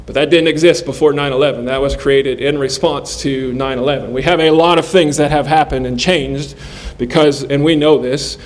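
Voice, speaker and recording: A man in his forties preaching a sermon in an earnest, measured way, pausing between phrases. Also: fairly high pitch, steady tone. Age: 40s. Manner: earnest, measured delivery with pauses.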